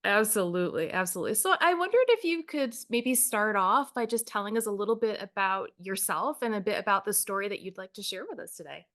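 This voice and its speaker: A woman's voice: mid-range voice, expressive, fairly quick, few pauses, a bit bright. Enthusiastic woman podcast host. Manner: enthusiastic, gushing. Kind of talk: scripted intro then casual interview.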